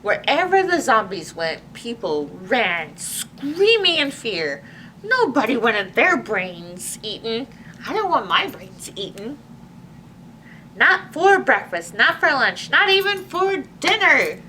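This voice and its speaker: A woman presenting a storytime for young children, reading aloud and chatting to them in an animated, exclamatory way. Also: on the high side, slow. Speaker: library storytime host. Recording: distant microphone with faint background noise.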